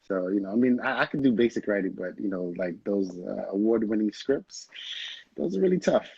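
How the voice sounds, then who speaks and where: A man's voice: lowish voice, lively intonation, brisk, vocal fry, darkish tone, slightly rough, no room sound. A young man from Haiti, a film director, chatting casually and rambling in an interview, laughing often. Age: young adult. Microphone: video call. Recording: dull lo-fi video call audio.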